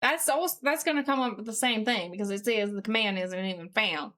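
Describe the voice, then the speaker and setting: A woman's voice: mid-range voice, fairly quick, a bit bright. A woman with a put-on Southern US accent, chatting casually as a live streamer in a folksy, mildly sweary way while working at a computer.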